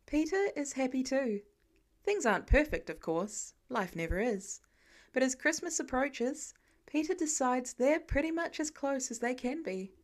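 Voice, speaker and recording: High-voiced woman narrating a story. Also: animated, measured pace. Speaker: audiobook narrator.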